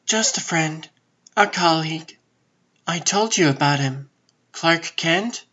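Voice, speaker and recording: A man's voice: on the high side, slow pace, pushed and bright, muffled recording, heavily acted. A man voicing a guarded but friendly woman reporter in a comic book dub.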